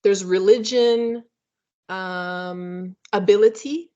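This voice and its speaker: A woman presenting in a webinar, explaining ideas thoughtfully with frequent fillers and pauses. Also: medium pitch, mellow. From Canada. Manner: thoughtful, hesitant, many fillers. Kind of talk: academic webinar talk. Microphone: video call. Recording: muffled lo-fi video call audio.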